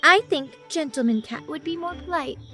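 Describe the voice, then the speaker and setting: A lofty little girl, played by a woman in a storybook dramatization. Her voice is high-pitched, and she speaks primly and flatly with a superior air. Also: moderate pace, faint music behind. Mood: prim challenge. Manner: prim, flat, superior. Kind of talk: audiobook dramatization of a classic novel.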